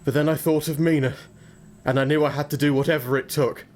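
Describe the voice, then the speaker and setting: Actor as haunted young gentleman, higher-pitched voice. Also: fairly even intonation, quick pace, close to an everyday voice. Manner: earnest, troubled, formal. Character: anxious, haunted young English gentleman husband. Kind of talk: gothic audio drama acting and first-person narration.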